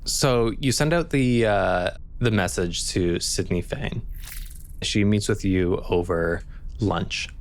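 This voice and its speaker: A man narrating an improvised tabletop game as game master, halting and thinking aloud as he repeats words. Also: fairly low pitch.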